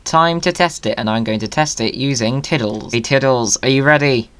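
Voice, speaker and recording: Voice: medium pitch, moderate pace, a little hiss or hum. Playful, chatty gamer. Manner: playful, jokey, excitable. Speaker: video creator hosting a gaming video. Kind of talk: chatty gaming commentary.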